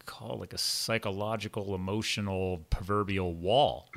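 Male host, deep-ish voice, supportive interjections, remote interview. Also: steady tone, moderate pace, gravelly, a bit bright. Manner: affirming, chiming in with short reactions. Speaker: podcast host. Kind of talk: interview conversation.

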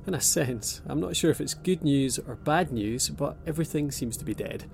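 A man voicing a dry-humoured young abductee with a gravelly, creaky edge to his voice. Over a prominent music score in an audio drama, he keeps a sardonic calm. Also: fairly high pitch, moderate pace. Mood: wry. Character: wry young man named Paul, abductee.

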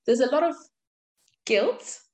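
A woman in her twenties from Zimbabwe, a guest on a podcast, answering thoughtfully with reflective pauses. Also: sits high, expressive. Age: 20s. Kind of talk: conversational interview answers.

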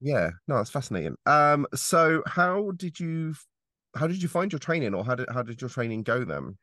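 A man's voice: mid-range voice, big pitch swings, moderate pace, bright, forceful tone, dry recording, clean studio sound. A man hosting an interview podcast, chatty and hesitant, with lots of fillers and restarts. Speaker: podcast host, driving instructor trainer. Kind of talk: casual interview chat.

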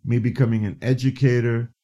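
A man from New City, a guest and educator, telling stories in a casual interview, animated and often restarting his phrases. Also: medium pitch, a little fast, highs cut off. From New York City. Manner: animated, restarts phrases, frequent "you know".

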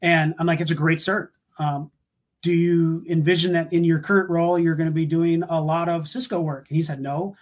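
A man talking through career advice in a relaxed, thoughtful way, with frequent restarts and fillers. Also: fairly high pitch, fairly quick. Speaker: guest, security practitioner. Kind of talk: casual panel talk.

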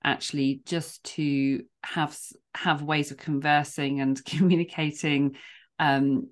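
Casting note: woman podcast host, low-pitched, effusive and encouraging in conversation. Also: flat delivery, a little slow. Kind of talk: conversational interview.

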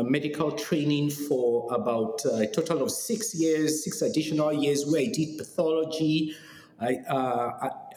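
A middle-aged man with a Nigerian accent, a podcast guest who explains things in a measured way with frequent pauses. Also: medium pitch, darkish tone. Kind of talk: podcast interview. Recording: echoey room with long reverb and faint noise.

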